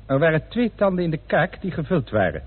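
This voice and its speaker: A self-assured forensic scientist gives formal evidence in a radio drama. His voice is husky and lively, lecturing with precision. The old recording is narrow and muffled. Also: a little high, a little fast. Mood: precise, self-assured. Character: exacting, self-assured male forensic expert testifying. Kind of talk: radio play acting.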